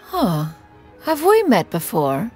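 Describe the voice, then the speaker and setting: A woman's voice: a little high, wildly swooping pitch, slow pace, a little mellow, faint music behind, exaggerated voice. A woman voicing a composed, dignified lady who speaks in a formal, stately way in a video game. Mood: composed, intrigued.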